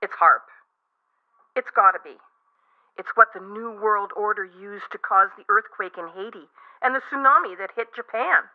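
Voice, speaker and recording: A woman's voice: medium pitch, normal speed. Thin telephone sound carries a nervous female caller in a radio play. She is earnest and insistent, a true believer in conspiracies phoning in to the host. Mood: earnest, alarmed. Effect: telephone filter. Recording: tinny, narrow phone line.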